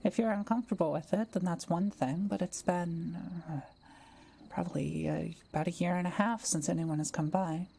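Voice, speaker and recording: Woman voicing a mellow, gentle young forest witch. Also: on the low side, vocal fry, slight background noise. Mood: cozy, friendly. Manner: gentle, unhurried, softly playful. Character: kind, welcoming solitary young forest witch. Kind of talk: roleplay audio drama addressed to the listener.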